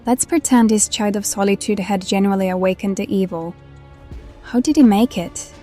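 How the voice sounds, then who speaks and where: A woman's voice: mid-range voice, moderate pace, mellow, soft background music, close to an everyday voice. A woman playing a protective, wistful half-banshee, half-necromancer outcast who speaks confidingly in an audio drama. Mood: probing. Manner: calls the younger one honey, confiding.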